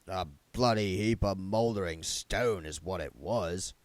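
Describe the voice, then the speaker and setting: A man's voice: deep voice, a little slow, no room sound. A man voicing a blunt, gloomy older working-class man, a reluctant vampire count, in a book read aloud. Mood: grumbling.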